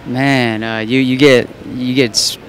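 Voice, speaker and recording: A man's voice: mid-range voice, fairly even intonation, a little slow, fairly bright tone, slight background noise. Laid-back rambling young man. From Los Angeles. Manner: laid-back, rambling, many fillers and restarts. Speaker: musician guest. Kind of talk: casual interview talk. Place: indoor event backdrop. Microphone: handheld mic.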